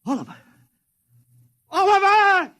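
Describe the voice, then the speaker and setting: A hot-tempered publicity man in a vintage radio play. His voice runs high and leaps dramatically in pitch as he talks urgent, defiant and tough. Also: sing-song, slow pace, bright, forceful tone, full character voice. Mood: frantic. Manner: urgent, tough-talking, emotional outbursts. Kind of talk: 1950s radio drama acting. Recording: vintage 1950s radio broadcast.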